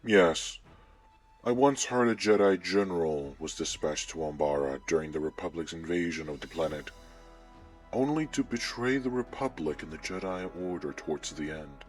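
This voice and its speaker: A man playing a menacing, commanding Sith lord in a sci-fi audio drama, speaking in a measured, imperious way with a cold, taunting edge. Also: sits low, close to an everyday voice. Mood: measured, ominous. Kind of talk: scripted sci-fi audio roleplay acting. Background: background music.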